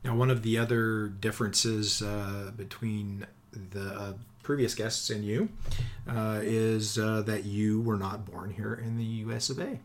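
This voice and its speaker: A man hosting an interview podcast about books, relaxed and hesitant, with frequent filler sounds. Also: lowish voice, measured pace. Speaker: podcast host.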